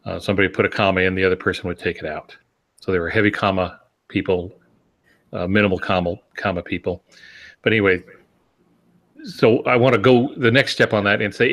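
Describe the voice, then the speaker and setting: Older male podcast co-host, lowish voice, casual explainer with a dry joking streak. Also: fairly bright tone. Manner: wry, joking.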